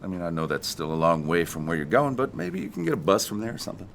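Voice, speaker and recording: An actor playing an affable, folksy married man who teases and gently probes in a naturalistic audio drama. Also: low voice, lively intonation, quick pace, gravelly, slight background noise. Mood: friendly, probing. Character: affable married man offering a hitchhiker a lift, quietly probing.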